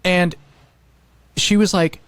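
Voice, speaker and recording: Higher-pitched man in casual podcast chat. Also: steady tone, slow pace, clean tone, no echo. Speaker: podcast host.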